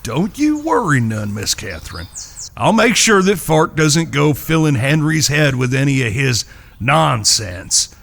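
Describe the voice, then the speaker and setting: A man's voice: on the high side, sing-song, creaky, a bit bright, exaggerated voice. A man with an American accent playing an earnest, polite cowboy peacemaker in a Western audio drama. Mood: reassuring. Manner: polite, measured, folksy. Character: earnest, courteous cowboy, calm peacemaking brother.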